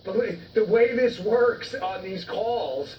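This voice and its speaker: High-voiced, emphatic man, muffled sound. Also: slow. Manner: emphatic, argumentative. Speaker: political commentator, guest. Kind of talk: live news interview. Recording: muffled, distant-sounding, faint background noise.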